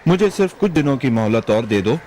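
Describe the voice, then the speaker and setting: A man playing a kind, honest moneylender in a moral-story cartoon, speaking in a gentle, earnest way. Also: medium pitch, lively intonation, fairly quick, a little hiss or hum, natural voice. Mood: anxious, pleading. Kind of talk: animated moral-story dubbing.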